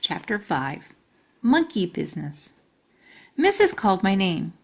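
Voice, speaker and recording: A woman's voice: medium pitch, sing-song, a little slow, gravelly. A woman reading a children's storybook aloud as a storytime reader.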